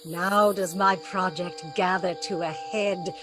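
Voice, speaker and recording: A woman's voice: on the low side, steady tone, slow, fairly bright tone, faint music behind. A woman playing a commanding, vengeful, stately sorceress, declaiming in a Shakespearean radio play.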